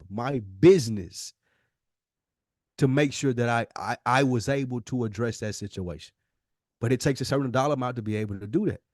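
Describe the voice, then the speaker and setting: Man, medium-pitched voice, casual podcast debate, emphatic repetition, very little room sound. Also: no room sound, clean studio sound. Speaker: podcast host.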